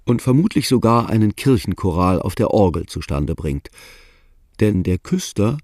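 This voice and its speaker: A man narrating a radio play in a calm, measured, reflective way. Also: lowish voice, vocal fry, no room sound, studio quality.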